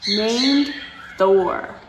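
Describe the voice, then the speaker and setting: A woman's voice: mid-range voice, drawn-out words, background noise. A woman reading a picture book aloud to children, with playful asides and dramatic emphasis, speaking straight to her young listeners.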